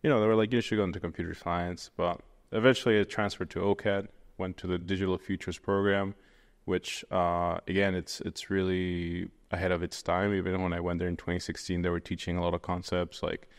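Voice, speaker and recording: Young guy from venezuela, rambly with lots of ums, very low, reverby room. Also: flat delivery, brisk. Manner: rambling, many fillers and restarts. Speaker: guest, 3D artist. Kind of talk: casual interview chat.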